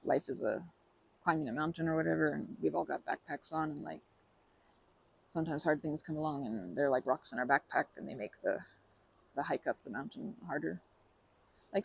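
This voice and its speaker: A woman playing a timid, kind young woman in a comforting ASMR roleplay, with a creaky voice and a hesitant, stammering, apologetic way of talking. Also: sits low, a little mellow, heavily acted, poor audio.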